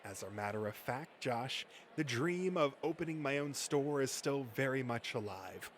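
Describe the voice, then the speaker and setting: A man's voice: mid-range voice, expressive, normal speed, natural voice. A man voicing a pompous, defensive comics collector with a wordy, sneering delivery in an amateur comic dub, on a slightly noisy recording. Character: Bill, pompous, defensive male comics collector and mocking rival.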